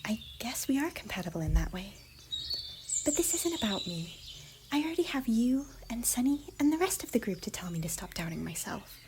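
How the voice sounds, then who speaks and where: A woman voicing an affectionate, bubbly young cartoon pony in an ASMR roleplay, with a squeaky, breathy voice and a theatrical, tender manner. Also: sing-song, fairly quick. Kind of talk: ASMR fan fiction roleplay. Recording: noisy, birdsong and ambience behind.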